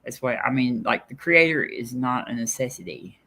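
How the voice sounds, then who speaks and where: A man's voice: mid-range voice, animated, normal speed, creaky, pushed and bright, husky. A man hosting a casual debate, hesitant and filler-heavy as he reasons aloud. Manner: hesitant, many fillers and restarts. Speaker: host.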